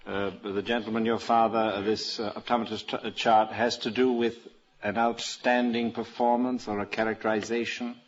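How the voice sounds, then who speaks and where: A fairly low-voiced man speaks as a quiz panelist on a dull old radio recording. His questions come out haltingly, in rather formal wording. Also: level tone. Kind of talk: unscripted questioning on a panel show.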